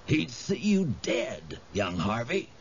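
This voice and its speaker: A man's voice: medium pitch, wildly swooping pitch, slow, gravelly, husky, heavily acted. Man voicing a sly, taunting, swooping tempter creature. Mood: goading, chanting. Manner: hissing, taunting, sing-song urging. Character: sly, goading creature tempting a boy toward bloodlust. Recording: dull audio with faint noise.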